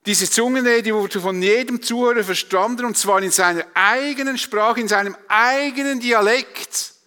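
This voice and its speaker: A man's voice: very high voice, normal speed, sharp tone, clear, resonant tone. A man with a Swiss German accent preaching a sermon to a congregation, emphatic, with rhetorical repetition and questions. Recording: long hall reverb.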